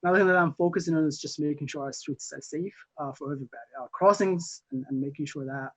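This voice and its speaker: A young man from Somalia, a newly elected council member, answering interview questions haltingly with many fillers and restarts. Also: a little high. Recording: muffled lo-fi audio.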